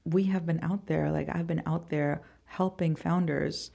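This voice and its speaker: A woman from Costa Rica speaking as a podcast guest in a casual, halting, emphatic interview style. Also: sits low, fairly even intonation, vocal fry, mellow. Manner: informal, halting, emphatic with repeated words. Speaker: podcast guest, venture fund founder.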